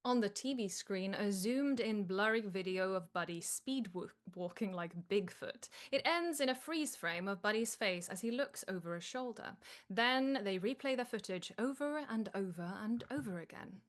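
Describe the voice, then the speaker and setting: A woman's voice: medium pitch, lively intonation, moderate pace. A woman reading stage directions as scripted narration at a live table read.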